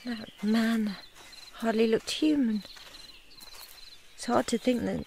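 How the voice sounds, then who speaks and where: Young doctor's wife, high-ish voice, guarded, polite, clipped, unhappy, radio play, faint insect ambience. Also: female voice, slow, a little mellow, a little hiss or hum. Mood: sombre. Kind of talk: radio drama acting.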